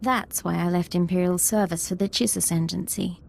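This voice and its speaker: An actress playing a dutiful, earnest young military ensign in a video game, speaking directly. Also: female voice, lowish voice, steady tone, moderate pace, gravelly, darkish tone. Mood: confessional, guarded.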